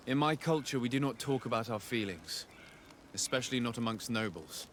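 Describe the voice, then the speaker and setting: An actor playing a sincere, reserved young noble diplomat in a video game, speaking politely and formally. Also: male voice, medium pitch, lively intonation, a little fast, a bit bright, a little hiss or hum, lightly acted. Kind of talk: video game dialogue acting.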